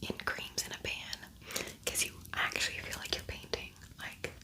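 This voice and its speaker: Whispering woman, casual ASMR host. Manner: soft unvoiced whispering, unhurried and chatty. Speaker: ASMR makeup tutorial host.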